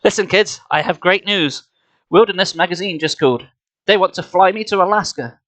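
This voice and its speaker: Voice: mid-range voice, a little fast, fairly bright tone, no echo, natural voice. A streamer voicing an enthusiastic middle-aged father from a children's book, upbeat as he explains things. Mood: excited.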